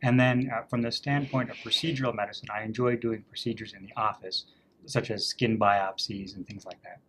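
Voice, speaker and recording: Deepish male physician guest, steady answers full of fillers, faint background noise. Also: brisk, poor audio. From Canada.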